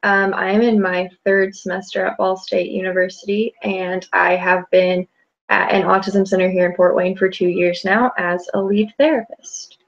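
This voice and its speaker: Female student, mid-20s, from the US, medium pitch. Simple self-introduction on a slightly dull recording. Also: level tone, normal speed, gravelly. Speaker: student presenter. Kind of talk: self-introduction in a group presentation. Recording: dull lo-fi sound.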